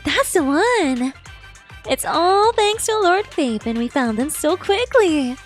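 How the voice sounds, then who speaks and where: A woman's voice: extremely high pitch, slow pace, faint music behind. A woman streaming a game live, reacting playfully and expressively and reading dialogue aloud. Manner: playful, expressive, cutesy exclamations.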